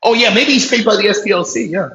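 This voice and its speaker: A man's voice: sits high, fast talker, pushed and bright, muffled recording, a little hiss or hum. A male podcast host delivering a profane, combative, sarcastic political rant. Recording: roomy echo.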